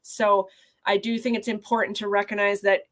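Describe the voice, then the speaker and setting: A woman's voice: mid-range voice, flat delivery, moderate pace, a bit bright. Earnest woman explaining on a video call. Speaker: guest physician. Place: small indoor office. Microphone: video call webcam.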